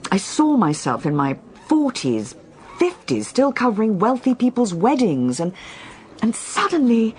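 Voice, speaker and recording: A woman's voice: on the high side, very animated, unhurried, a little mellow. A woman acting in a radio drama as an earnest, restless reporter, articulate but hesitant and questioning. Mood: earnest, frustrated.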